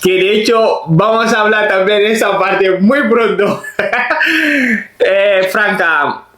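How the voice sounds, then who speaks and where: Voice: medium pitch, slow pace, a bit bright. A podcast host from Guinea interviewing a guest, speaking hesitantly with frequent repeated words. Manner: hesitant, repeats words, many thanks and acknowledgments. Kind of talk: casual interview hosting. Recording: echoey room.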